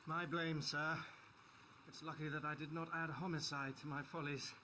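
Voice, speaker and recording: A man's voice: on the high side, level tone, normal speed, sharp tone, a little hiss or hum, pitched above the performer's own voice. A man voicing a modest, plain-spoken young colonial traveler with short, understated replies, as he reads a story aloud. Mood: dry. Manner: terse, understated.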